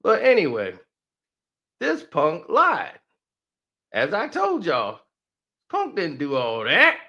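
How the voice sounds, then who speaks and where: A man's voice: on the high side, wildly swooping pitch, slow, pushed and bright, highs cut off. A man giving a heated, mocking sports commentary rant that repeats its points.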